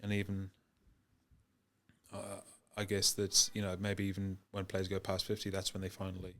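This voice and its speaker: A young man from Wales speaks in a mixed Welsh and New Zealand accent, his voice low and deep. His delivery stays flat and even as he pauses, restarts and fills gaps with ums while answering interview questions. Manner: hesitant, many ums and restarts. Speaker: guest, professional rugby player. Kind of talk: podcast interview.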